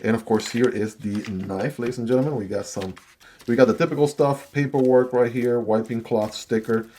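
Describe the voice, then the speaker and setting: A man's voice: mid-range voice, fairly even intonation, moderate pace, mellow, slight background noise. A man with Chinese as his first language giving a chatty knife unboxing and review, often adding "right?" as he goes. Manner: chatty, repeats "right?" and "I gotta tell you". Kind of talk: casual product review.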